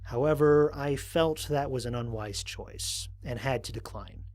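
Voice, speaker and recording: A man playing a thoughtful, wary space explorer, narrating scripted mission logs in a measured, articulate, reflective way. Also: medium pitch, a little mellow, close to an everyday voice. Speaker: narrator of a fan audio drama. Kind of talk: scripted first-person mission log narration.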